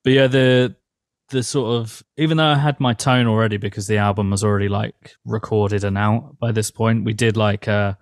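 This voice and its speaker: A man's voice: fairly low pitch, fairly even intonation, moderate pace, no room sound, studio quality. A young man, a metal band's vocalist, talking casually as a guest and filling his speech with "like". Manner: rambling, heavy use of "like", laughs easily. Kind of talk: casual interview chat.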